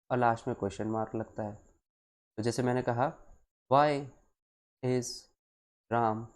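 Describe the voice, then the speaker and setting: A man teaching a live online class, explaining deliberately, repeating key phrases and switching between Hindi and English as he addresses his students. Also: medium pitch, darkish tone.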